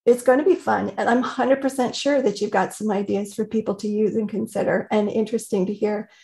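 A woman hosting an interview on a video call from her home, warmly effusive and quick to laugh. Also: mid-range voice, steady tone, gravelly. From Indiana. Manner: enthusiastic, effusive, laughs often. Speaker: host. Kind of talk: interview host. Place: home room. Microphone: video call webcam.

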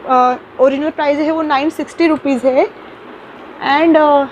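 A woman's voice: high voice, muffled recording, slight background noise. A young woman chatting casually through an unboxing vlog in a small room, talking into a phone camera with lots of fillers and restarts, mixing English words into her sentences. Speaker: vlogger.

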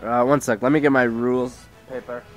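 Sick teen gamer from Idaho, sore throat, rambling home commentary on a headset, mid pitch. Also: male voice, little pitch movement, a little fast, faint music behind. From Idaho, USA. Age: teens. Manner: rambling, self-interrupting, reads out settings. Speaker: gaming YouTuber. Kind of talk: casual gameplay commentary. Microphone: headset mic with tangled cords.

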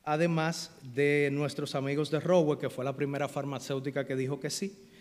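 A middle-aged man speaks earnestly at a lectern, with a somewhat high voice for a man. He thanks people in a formal speech, pausing often to search for words. His voice rings with the long reverb of a large auditorium. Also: level tone. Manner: earnest, grateful, many hesitations. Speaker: event organizer giving a speech. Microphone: lectern microphone.

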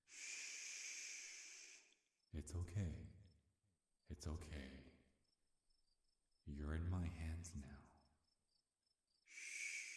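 A man playing a hungry, seductive vampire in an ASMR roleplay, murmuring and whispering close to the mic, breathy and softly menacing. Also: extremely low pitch, full character voice. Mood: soothing, menacing. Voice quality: husky, breathy. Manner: slow, coaxing, softly menacing. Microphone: close microphone.

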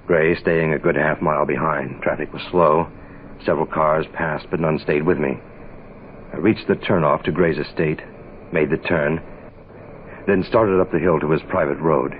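Radio noir private eye: extremely deep, clipped, deadpan, on a hissy old recording. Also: male voice, normal speed, phone-quality sound. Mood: tense, deadpan. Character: terse, hard-boiled private investigator. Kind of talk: 1960s radio noir narration and dialogue.

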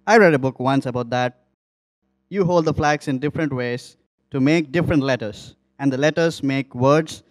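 A man's voice: mid-range voice, normal speed, dry recording, natural voice. A man voicing a curious, bookish young animal character in a children's puppet show.

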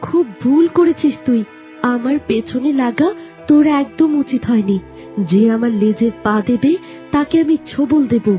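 Haughty, scolding young queen, high-voiced actress. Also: moderate pace, warm tone, dull audio, faint music behind, natural voice. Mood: menacing. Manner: scolding, threatening, imperious. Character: haughty, vindictive young queen who bullies her servants. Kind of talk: melodramatic fairy-tale cartoon dubbing.

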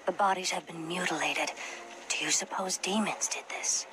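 An actress playing a dutiful, troubled elf scout in a video game, earnest and solemn. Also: female voice, fairly low pitch, steady tone, moderate pace, background noise, natural voice. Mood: solemn worry. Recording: game sound behind voice.

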